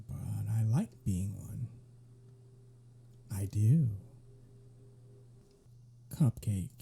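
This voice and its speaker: A man's voice: lowish voice, gravelly, dark tone, slightly rough, full character voice. A man playing a smug, centuries-old vampire in an intimate ASMR roleplay, teasing with a sarcastic drawl. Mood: menacing, savoring.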